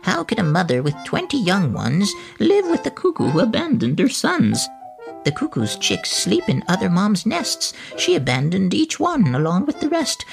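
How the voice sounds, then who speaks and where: A woman's voice: on the low side, gravelly, pitched above the performer's own voice, full character voice. A woman voicing an indignant mother rabbit from a storybook who refuses to move in. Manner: indignant, breathless. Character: huffy mother rabbit with many young. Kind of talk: storybook reading.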